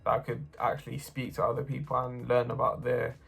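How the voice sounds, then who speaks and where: Young man, student, steady monotone testimony, faint music under it. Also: mid-range voice, fairly quick, gravelly, slightly rough. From North London. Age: young adult. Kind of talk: personal testimony to camera.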